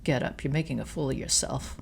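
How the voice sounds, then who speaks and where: A woman voicing a betrayed wife who speaks with cold contempt, low and clipped. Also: brisk, gravelly, a little mellow, slight background noise. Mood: contemptuous. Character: cold, bitter betrayed adult wife, Nina.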